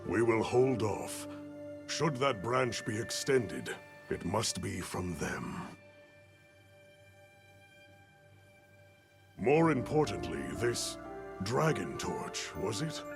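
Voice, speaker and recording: An actor playing a grave, formal older commander in a fantasy game, speaking with deliberate authority and a gravelly voice. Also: male voice, on the low side, full character voice. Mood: firm. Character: grave, measured older male commander of a hunters' guild. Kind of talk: cinematic fantasy game acting. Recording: music behind the voice.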